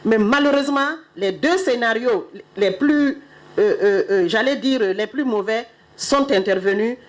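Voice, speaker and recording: A middle-aged woman speaking on behalf of an official, giving a solemn, measured ceremonial address. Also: a little high. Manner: measured, solemn, stately delivery.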